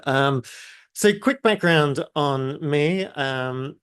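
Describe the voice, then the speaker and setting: A man from New Zealand with a mixed New Zealand and British accent, presenting a live online masterclass in a chatty way, often pausing on fillers and speaking to the audience in the chat. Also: fairly high pitch, a bit bright, clean tone, no echo, clean studio sound. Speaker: webinar presenter.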